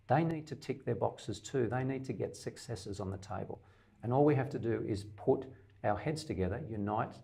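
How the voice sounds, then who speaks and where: A man's voice: on the low side, vocal fry, darkish tone. An Australian man, a middle-aged independent candidate, answering interview questions emphatically in long lists, with some stammered restarts.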